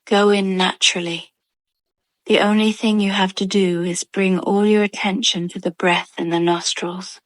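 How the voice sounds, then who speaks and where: A woman's voice: medium pitch, little pitch movement, normal speed, no room sound. A woman narrating a guided meditation in a soothing, instructional way.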